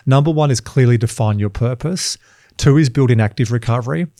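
An upbeat man hosts a coaching podcast, talking in a chatty flow with quick asides. His voice is mellow and warm, and the recording is close and dry. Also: mid-range voice, dry recording. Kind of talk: casual coaching conversation.

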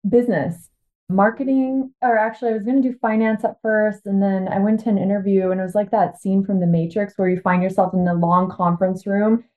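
Casting: female American life coach as podcast guest, informal and enthusiastic, mid pitch, tinny call-quality line. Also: brisk. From Washington State, United States. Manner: informal, excited. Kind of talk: casual chat, telling personal story. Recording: thin phone-like call audio.

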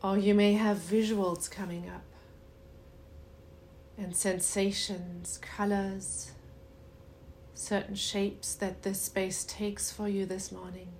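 A woman leading a guided meditation in a soothing, unhurried way with long pauses and a soft voice that turns breathy at times. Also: medium pitch, flat delivery, slight background noise.

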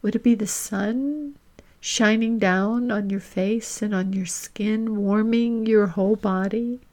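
A woman leading a guided reflection in the manner of a podcast host, gentle and deliberate, with long reflective pauses. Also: mid-range voice, fairly even intonation.

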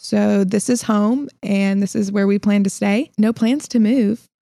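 Gravelly-voiced young woman with Southern accent. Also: medium pitch, fairly even intonation, measured pace, mellow, no room sound, clean studio sound. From Illinois. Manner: upbeat, laughs often. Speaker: podcast host. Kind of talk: casual podcast chat.

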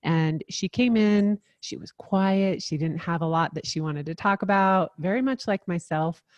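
Low-voiced woman podcast co-host. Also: normal speed, dry recording. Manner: warm, earnest introducer with frequent "um". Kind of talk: podcast intro and chat.

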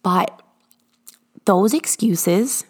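A woman hosting a motivational podcast, her voice hoarse and raspy from illness, talking earnestly and encouragingly from her garage. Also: mid-range voice, a little mellow. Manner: earnest, encouraging, drawn-out emphasis. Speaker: podcast host.

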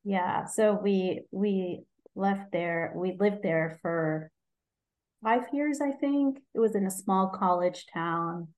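A woman's voice: mid-range voice, slow, a little mellow. A woman in her forties from Egypt, a physician guest in an interview, talking casually with frequent ums, restarts and easy laughs. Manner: hesitant, many ums and restarts, laughs easily.

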